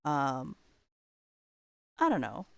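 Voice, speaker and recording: Low-pitched woman chatting casually on a livestream. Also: wildly swooping pitch, drawn-out words, vocal fry, clear, resonant tone, no echo. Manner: rambling, thinking aloud. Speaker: livestream host.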